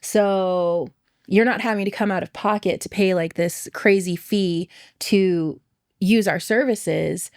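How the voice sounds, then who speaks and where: A woman's voice: mid-range voice, fairly even intonation, slow, a little mellow, no room sound, studio quality. A woman moderating a roundtable chat in an upbeat, conversational way, often saying "like".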